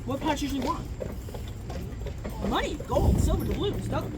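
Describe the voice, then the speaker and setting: A woman from Michigan guides a ghost tour through city streets at night, her fairly high voice carrying dry, easygoing humor. She is captured on raw phone footage from a few steps away. Loud street noise, passing cars and clip-clopping hooves nearly drown her out. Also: moderate pace. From Michigan, USA. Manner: relaxed storytelling with dry humor and asides to the group. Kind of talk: guided tour storytelling to a group. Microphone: audience phone recording the guide from a few steps away.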